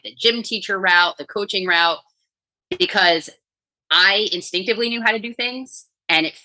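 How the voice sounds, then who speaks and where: Woman, from New York, low voice, forceful, chatty guest. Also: poor audio. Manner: chatty, energetic storytelling. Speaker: guest, coach and author. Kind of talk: casual podcast interview.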